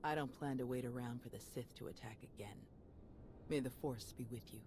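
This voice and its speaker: An actor playing an earnest, humble and resolute Jedi in a video game, speaking sincerely and with measured calm. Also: mid-range voice, background noise, close to an everyday voice. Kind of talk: dramatic video game voice acting.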